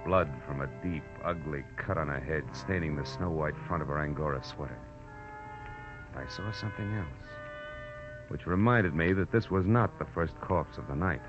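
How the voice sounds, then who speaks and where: A man's voice: extremely low pitch, normal speed. A man playing a terse, sardonic American private detective in a 1940s radio crime drama, narrating his case and wisecracking. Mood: grim, narrating. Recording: muffled old-time radio recording, music behind.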